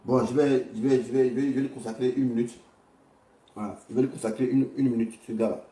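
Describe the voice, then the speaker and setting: A man's voice: mid-range voice, steady tone, fast, mellow. An Ivorian man hosting an informal livestream commentary, rambling and repeating himself in a blunt, crude way. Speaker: livestream host. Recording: echoey room, distant from the mic.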